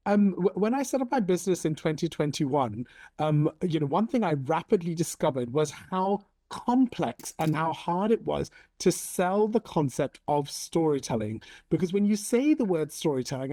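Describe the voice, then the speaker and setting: A man in his forties, raised in Hong Kong, speaking as an interview guest in a measured, thoughtful way with frequent ums. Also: fairly high pitch, sing-song, warm tone, no room sound. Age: 40s. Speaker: interview guest, storytelling coach. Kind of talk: conversational interview.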